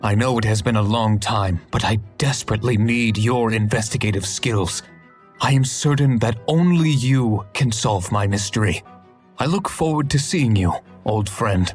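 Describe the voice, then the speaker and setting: An actor playing an earnest, anxious young husband pleading for help in a game cutscene. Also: male voice, on the low side, moderate pace, faint music behind, close to an everyday voice. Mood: worried. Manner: worried, pleading, slightly husky. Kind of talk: hidden-object game cutscene.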